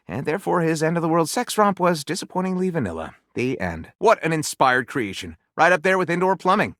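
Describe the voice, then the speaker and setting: An actor playing a whimsical, mock-literary storyteller in a video game, narrating grandly with comic commentary. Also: male voice, on the high side, a bit bright, dry recording, clean studio sound.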